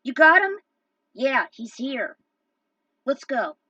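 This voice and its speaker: A woman's voice: high-pitched, big pitch swings, slow pace, pushed and bright, dry recording, distant mic, muffled recording, pitched above the performer's own voice, full character voice. A woman voicing urgent, heroic punk fighters in an action story. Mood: urgent shouting.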